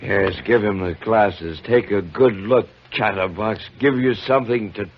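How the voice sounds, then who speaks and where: An actor playing a gruff, bossy chief lighthouse keeper, curt and sardonic, in a vintage radio drama. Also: male voice, lowish voice, measured pace, lightly acted. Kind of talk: 1950s radio drama acting. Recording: dull, hissy vintage radio broadcast.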